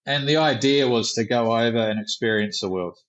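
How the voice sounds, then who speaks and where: A man's voice: mid-range voice, brisk, highs cut off. A middle-aged man with a New Zealand accent, a guest talking casually and a bit rambly. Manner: relaxed, rambling, with fillers. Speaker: guest, tour company founder. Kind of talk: casual interview.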